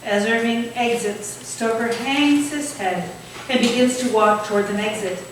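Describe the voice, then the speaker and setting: A woman's voice: a little high, unhurried. A woman reading scripted stage directions aloud as narrator at a staged play reading. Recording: echoey hall from far off, faint noise.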